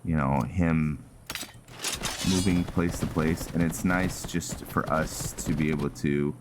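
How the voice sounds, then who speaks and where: A man's voice: extremely low pitch, steady tone, slow, background noise. A man giving casual, conversational let's-play commentary, musing aloud as he plays. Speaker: gaming streamer, host.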